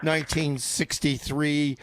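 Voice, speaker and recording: An actor playing a self-important, easily miffed award winner being interviewed in a radio comedy, halting and boastful. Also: male voice, on the high side, level tone, noisy background. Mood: reverent, boastful. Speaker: talk show guest.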